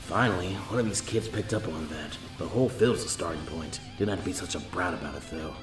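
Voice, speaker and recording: Guy doing a grumpy dry referee man, impatient, kinda low, story reading. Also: a little fast, echoey room, recorded from a distance, over music, lightly acted. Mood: irritated. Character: gruff, dry, irritated adult male fight referee.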